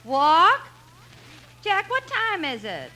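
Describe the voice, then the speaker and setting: A woman's voice: very high voice, huge pitch swings, takes it slowly, bright, forceful tone, exaggerated voice. An actress playing a sleepy, sardonic woman friend on the telephone, dry and incredulous, in 1950s radio comedy style. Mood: exasperated. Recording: noisy old radio recording.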